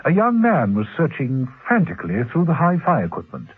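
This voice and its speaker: A man's voice: a little high, huge pitch swings, fairly quick. A man narrating a classic radio spy drama in a theatrical, suspenseful and arch manner. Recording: muffled, husky-sounding old radio recording.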